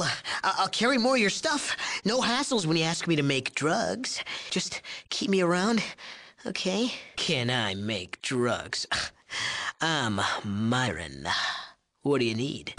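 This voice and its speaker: A cocky young drug-cooking genius for a video game. His mid-pitched voice swoops up and down with smug sarcasm. He is leering and whiny, and trips over his words when rattled. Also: fairly bright tone, exaggerated voice. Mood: flustered, indignant. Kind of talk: video game character dialogue.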